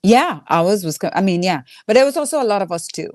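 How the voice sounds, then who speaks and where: A woman's voice: medium pitch, lively intonation, fast talker, darkish tone, no room sound, clean studio sound. A woman with a thick Trinidadian accent speaking candidly in a casual interview as a stand-up comedian, acting out reactions as she tells stories.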